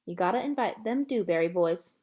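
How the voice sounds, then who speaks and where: A woman's voice: on the low side, expressive, a little fast, dark tone. A woman voicing a kindly, wry and firm older Southern woman with a folksy manner in a storybook reading.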